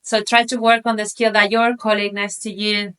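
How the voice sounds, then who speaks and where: A woman's voice: mid-range voice, flat delivery, a little fast, a bit bright, smooth. A woman facilitating a workshop, explaining the group exercise in an encouraging way.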